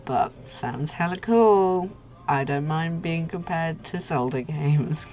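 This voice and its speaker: A woman's voice: deep voice, darkish tone. A young woman hosting a casual livestream, chatting in a goofy, giggly, slangy way. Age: young adult. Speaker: livestream host, artist. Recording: muffled lo-fi stream audio with music. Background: background music.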